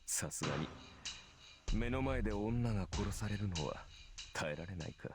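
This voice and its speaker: An actor playing a cold, cynical, sneering knight in red in a Japanese visual novel, speaking in a measured, mocking way. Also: male voice, on the low side, lively intonation, noisy background, close to an everyday voice. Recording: loud music under the voice. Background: loud game music.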